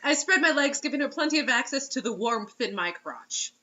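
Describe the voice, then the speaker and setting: A woman with a high, bright voice reads a story aloud on a dull, lo-fi recording. She is giggly and slips into incredulous asides as she goes. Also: expressive, fairly quick. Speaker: reader and co-host mocking bad fiction. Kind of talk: reading fiction aloud with joking asides.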